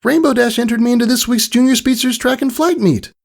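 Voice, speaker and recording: Man putting on a high, plucky filly pegasus. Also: brisk, gravelly, heavily acted. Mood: excited, eager. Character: plucky young filly pegasus, eager and brave.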